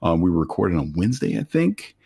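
A man's voice: deep voice, very animated, fast, gravelly, warm tone, slightly rough. A man guest on a gaming podcast, easygoing and appreciative as he talks. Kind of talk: casual podcast chat.

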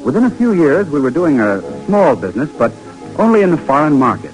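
An actor playing an earnest, struggling young husband with big ambitions, in dramatic 1940s radio style. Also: male voice, on the high side, big pitch swings, a little fast, a little mellow, faint music behind, low-quality recording. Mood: reflective.

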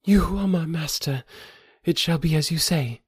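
A man's voice: fairly high pitch, normal speed, a little mellow, dry recording, clean studio sound, pitched above the performer's own voice, close to an everyday voice. A man voicing a devoted young woman who speaks fervently in formal, old-fashioned phrasing. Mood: sad.

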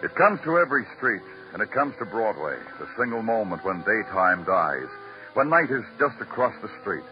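An actor playing a world-weary New York police detective in a vintage radio noir, with terse, moody, poetic delivery. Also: male voice, fairly low pitch, very animated, normal speed, music in the background. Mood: brooding. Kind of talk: 1940s-50s radio noir narration and acting. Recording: muffled vintage radio broadcast.

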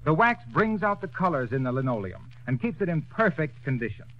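A man's voice: fairly high pitch, big pitch swings, fairly quick. A male radio announcer reading a sponsor commercial and introducing a 1930s radio comedy with a polished, declamatory sales delivery. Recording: dull old radio broadcast recording.

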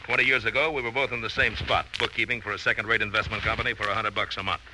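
Male actor as smug middle-aged businessman, lowish voice, patronising, lecturing, vintage radio drama, noisy crackly recording. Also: level tone, rapid-fire, lightly acted. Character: self-satisfied successful middle-aged businessman refusing a friend. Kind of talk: 1940s radio drama acting.

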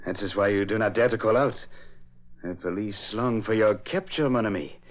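A man's voice: fairly low pitch, moderate pace, natural voice. Man playing a wary Frenchman, muffled old radio. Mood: confident, challenging. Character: wary Frenchman, polite but evasive suspect. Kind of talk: old-time radio crime drama acting. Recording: muffled vintage radio recording.

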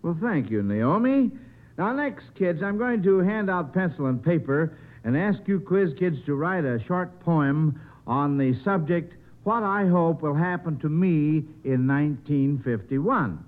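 A man with a slightly high voice hosts a 1950s radio panel show. He talks to children in a folksy, chatty way. The old recording is narrow and muffled. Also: expressive, a little slow. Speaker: quiz show host.

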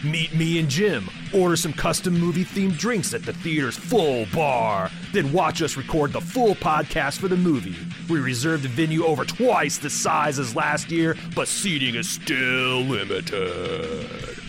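A man's voice: on the high side, expressive, unhurried, hardly any pauses. A man who hosts a podcast, reading a scripted promotional announcement for an event. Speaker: podcast host. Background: plucked guitar music.

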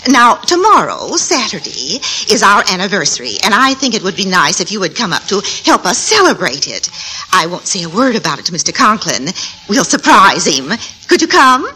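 Gushing matron, swooping and bright. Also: female voice, medium pitch, big pitch swings, normal speed, rough recording. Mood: friendly. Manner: chatty, emphatic, giggly. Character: gushing, scheming, matchmaking middle-aged married woman. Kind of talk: classic 1940s-50s American radio comedy acting. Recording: old radio broadcast, faint noise.

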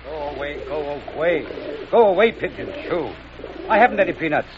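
An actor playing a dry, irritable, weary millionaire in a 1940s radio comedy. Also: male voice, fairly high pitch, lively intonation, normal speed, natural voice. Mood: irritated, sardonic. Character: grumpy tired millionaire shooing pigeons in the park. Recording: dull, noisy old radio recording.

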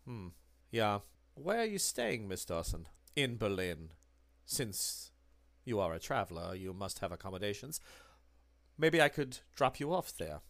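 A man putting on a sinister German occultist in a tabletop game, low and hushed and measured, each word coldly polite. Close, dry sound with no room echo. The menace stays under the courtesy. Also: exaggerated voice. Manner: soft, low, measured and probing.

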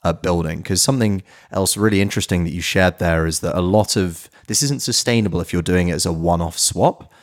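A man's voice: low-pitched, brisk, hardly any pauses. A man hosting a podcast interview in a conversational way, thinking aloud and restating ideas as questions.

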